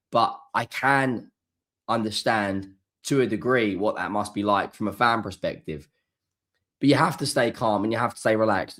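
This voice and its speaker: A fairly low-pitched man, hoarse and a bit rough because he is coming down with flu. He gives his solo post-match reaction to the camera, hammering points home and repeating words for stress. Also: fairly bright tone. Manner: emphatic, repeats key words. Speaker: football channel host.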